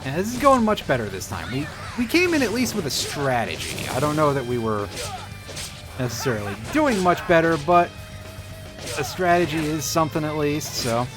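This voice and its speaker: Male streamer, casual and self-deprecating, animated mid voice, game music behind him. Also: a little high, moderate pace. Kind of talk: casual live game commentary. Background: video game music and effects.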